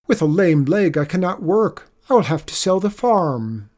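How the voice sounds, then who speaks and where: Storyteller doing a high, wailing, anxious farmer. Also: very animated, moderate pace, a little mellow, close to an everyday voice. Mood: self-pitying. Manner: wailing, groaning, dramatic. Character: anxious wailing farmer, doom-laden and melodramatic.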